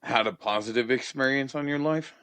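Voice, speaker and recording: A man's voice: mid-range voice, a little fast, a bit bright, clean tone, no room sound. A man in his forties hosting a casual interview podcast, asking blunt questions and swearing freely. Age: 40s.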